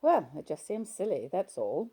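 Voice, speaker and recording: A woman's voice: lowish voice, huge pitch swings, normal speed, warm tone, husky, pitched above the performer's own voice, heavily acted. A woman voicing a blunt, chatty hospital patient. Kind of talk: audiobook character voice.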